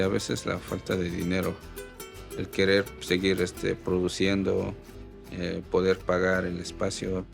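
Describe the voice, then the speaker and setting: Low gravelly man, music behind. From Oaxaca, Mexico. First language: Mixe. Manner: reflective, with frequent "este" fillers. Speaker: artist. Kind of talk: interview answers.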